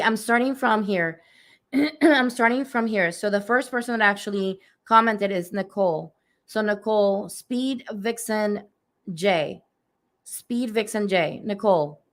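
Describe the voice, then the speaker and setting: A woman speaking softly and slowly, her voice a little hoarse and raspy. She gives a spiritual reading over a livestream, pausing often with gentle fillers. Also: medium pitch. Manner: soft, unhurried, many fillers. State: slightly hoarse from allergies. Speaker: energy healer giving readings. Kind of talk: live-streamed spiritual reading. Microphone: phone or webcam on a livestream.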